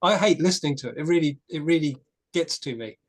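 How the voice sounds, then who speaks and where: A man's voice: on the high side, fast. A man speaks in a slightly raised voice, frank and opinionated. He tells stories in casual group talk and often restarts his sentences. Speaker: discussion group participant.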